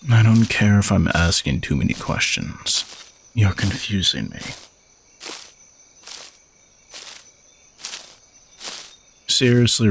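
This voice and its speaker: A man playing an arrogant, teasing young knight bodyguard who is gruffly dutiful, in an audio roleplay spoken to the listener. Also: on the low side, a little hiss or hum. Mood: puzzled, impatient.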